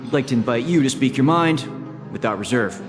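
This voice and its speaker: A polite male corporate assistant, played by an actor speaks with formal, measured courtesy in a mid-pitched voice. It is a video game host role, with long hall reverb and music underneath. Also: lively intonation, natural voice. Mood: courteous, composed.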